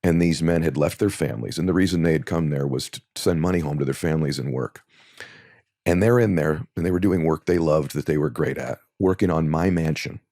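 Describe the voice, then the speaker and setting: Brief: male guest, deep earnest voice, rises to passionate emphasis, dry close recording. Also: a little fast, dark tone, no echo. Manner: earnest, builds to impassioned emphasis when telling a story. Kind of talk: conversational interview storytelling.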